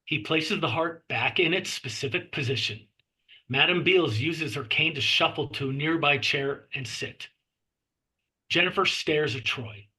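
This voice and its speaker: A man's voice: fairly high pitch, unhurried, bright, forceful tone, husky, rough recording. A man reading the stage directions of a horror script aloud as scripted narration at a table read.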